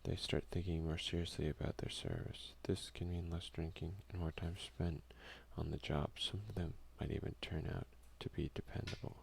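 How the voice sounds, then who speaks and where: A man doing a soft-spoken, unhurried ASMR game playthrough, reading text aloud with casual asides. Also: deep voice, level tone, vocal fry, a little hiss or hum. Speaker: let's play streamer.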